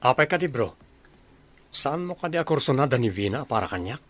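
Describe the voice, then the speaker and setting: A man's voice: mid-range voice, sing-song, brisk, fairly bright tone. A man playing a dutiful young army officer and devoted son in a radio drama. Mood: earnest.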